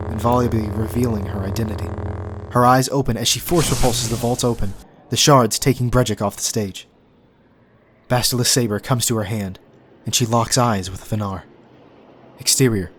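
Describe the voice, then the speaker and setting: A man reading scripted scene headings and action as the narrator of an audio drama, with faint noise behind him. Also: medium pitch. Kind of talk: scripted narration of screenplay directions. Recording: faint background noise and ambience.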